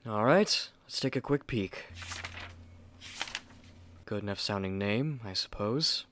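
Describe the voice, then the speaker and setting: A man's voice: lowish voice, lively intonation, slow pace, noisy background. A man playing a haughty, impatient, self-important artist in an ASMR roleplay, speaking in a dry, condescending, theatrical way. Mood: musing, disdainful. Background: rain and thunder.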